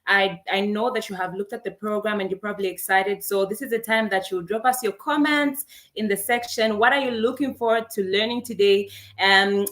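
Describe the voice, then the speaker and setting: A woman's voice: medium pitch, fairly even intonation, a little fast, a bit bright. A young woman from Zambia hosting an online career event on a video call, upbeat and welcoming as she greets attendees by name. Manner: upbeat, welcoming, reads chat names aloud. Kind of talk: live webinar hosting.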